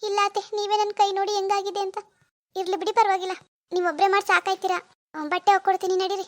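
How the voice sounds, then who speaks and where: A woman's voice: high-pitched, fairly even intonation, brisk, lightly acted. A woman playing a scheming, lazy young wife in a domestic drama, sly and theatrical in her delivery. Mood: whiny self-pity. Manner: sly muttering alone, whiny playacting to others. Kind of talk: amateur domestic drama acting.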